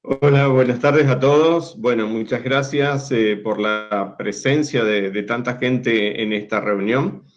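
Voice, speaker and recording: A man's voice: medium pitch, slow pace, hardly any pauses. Hesitant man with a dull, lo-fi sound. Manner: hesitant, with repeated words and restarts. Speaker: executive director of a municipal climate network. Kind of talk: informal presentation.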